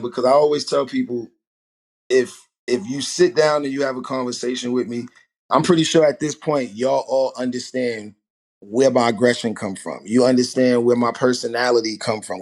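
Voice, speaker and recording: Forties male rapper guest, mid-pitched, loose and animated storyteller. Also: brisk. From Harlem, New York. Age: 40s. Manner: animated storytelling, rhetorical asides. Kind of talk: casual podcast conversation.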